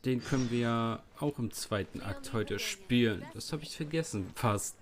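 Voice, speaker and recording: A man's voice: medium pitch, normal speed. A man, a native German speaker, giving casual Let's Play commentary as host. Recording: clearly noisy, game ambience behind.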